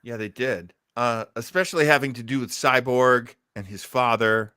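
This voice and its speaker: A man hosting a casual podcast chat, enthusiastic and quick to prod others with questions. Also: medium pitch, pushed and bright, clean tone, no room sound, studio quality. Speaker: podcast host. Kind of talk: casual chat about old radio and films.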